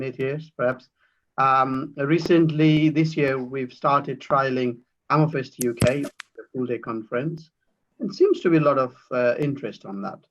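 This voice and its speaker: A man's voice: mid-range voice, fairly bright tone. A man who runs seller meetups, talking in a loose, musing way over a video call. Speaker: meetup organizer. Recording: dull lo-fi call audio.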